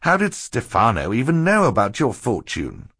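Stern adult gentleman, done by a male reader, storybook, businesslike, measured, slow to catch on, varied pitch. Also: huge pitch swings, slightly rough, dry recording, studio quality, full character voice. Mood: puzzled.

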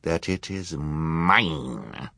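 Man doing a high, theatrical villainess. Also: sing-song, unhurried, breathy edge, no room sound, clean studio sound, heavily acted. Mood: menacing, imperious. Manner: dramatic, indignant, imperious. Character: vain, theatrical, scheming adult female villain.

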